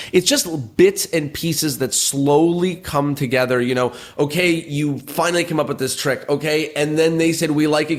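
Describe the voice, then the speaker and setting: A man hosting a magic podcast, asking long, winding questions packed with "you know" and restarts. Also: fairly high pitch. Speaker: podcast host.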